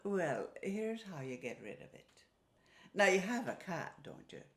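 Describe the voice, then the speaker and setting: A woman's voice: on the low side, very animated, unhurried. A woman storyteller voicing a practical, bossy Irish village woman in a put-on accent, instructing matter-of-factly. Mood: brisk, advising. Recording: roomy, echoing sound.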